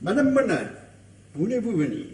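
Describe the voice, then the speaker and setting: An actor playing a shrewd, insistent police commissioner who questions a suspect with courteous, insinuating pressure, in a French radio crime drama. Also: male voice, high voice, huge pitch swings, normal speed, vocal fry, a little mellow, a little hiss or hum, exaggerated voice. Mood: grave, accusing.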